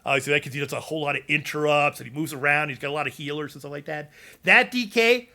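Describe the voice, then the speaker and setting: A man's voice: a little high, quick pace, gravelly, bright, forceful tone. A man chatting casually about game builds, rambling with many fillers and restarts, and losing his voice. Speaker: gaming content creator.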